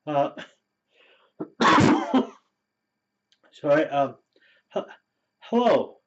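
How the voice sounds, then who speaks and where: A man hosting a show, speaking in a halting, stumbling way. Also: fairly high pitch, very animated, fairly bright tone, muffled recording. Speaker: host.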